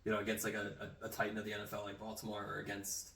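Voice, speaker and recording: A man's voice: on the low side, completely flat delivery, a bit bright, roomy sound, recorded from a distance, slight background noise. A young man in a casual sports chat, rambling with many fillers. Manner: quick, rambling, lots of "like" and "you know". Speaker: co-host.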